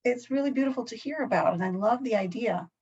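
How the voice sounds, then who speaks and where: Husky-voiced woman asking careful questions. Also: fairly high pitch, fast talker, darkish tone. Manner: thoughtful, searching for words. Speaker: host. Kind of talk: interview questions. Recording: dull lo-fi audio.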